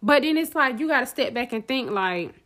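A woman's voice: high voice, animated, brisk, fairly bright tone. A woman co-hosting a casual talk show, speaking in an encouraging, pep-talk way. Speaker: podcast co-host. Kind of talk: casual chat.

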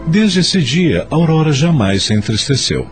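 A man with a Brazilian accent narrating a scripted radio drama as an expressive storyteller. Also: a little high, measured pace, loud music behind the voice.

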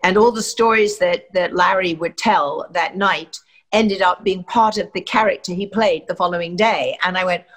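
A woman's voice: lowish voice, moderate pace, a bit bright. An older woman from England, an actress, giving chatty, rambling interview answers full of fillers and restarts.

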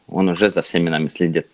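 A man's voice: deep voice, steady tone, normal speed, no room sound. A man in his twenties on a casual podcast, thinking aloud hesitantly with lots of fillers. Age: 20s. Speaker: podcast co-host.

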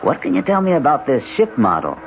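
An actor playing a curious, decisive city husband with a hearty, emphatic manner in a 1970s radio drama. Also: male voice, a little high, huge pitch swings, fairly quick, vocal fry, exaggerated voice. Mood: hearty, interested. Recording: noisy, thin, narrow-band 1970s radio broadcast.

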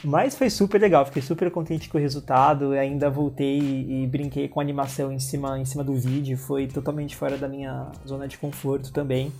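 A man's voice: mid-range voice, level tone, brisk, gravelly, hardly any pauses, a little mellow, a little hiss or hum. A man with a Brazilian Portuguese accent, a filmmaker guest chatting casually about his work, with hesitant restarts and frequent laughs. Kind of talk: casual interview talk.

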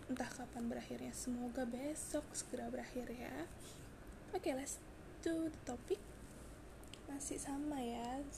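A hoarse young woman hosting a casual solo podcast, chatty and confiding, with frequent fillers and restarts. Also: high-pitched, noisy background. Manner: chatty, confiding, mixes in English words, many fillers and restarts. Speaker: podcast host.

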